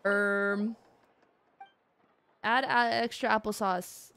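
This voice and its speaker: A woman livestreamer chatting casually over a game, playful, expressive and rambling to the viewers. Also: medium pitch, slow, smooth. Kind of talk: casual game stream commentary. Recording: game music behind the voice.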